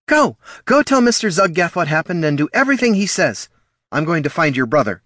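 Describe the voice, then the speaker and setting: A man's voice: a little high, sing-song, moderate pace, sharp tone, no room sound, studio quality, pitched above the performer's own voice, heavily acted. A man voicing a fierce, harried female gnoll mother who snarls and barks orders. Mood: frantic.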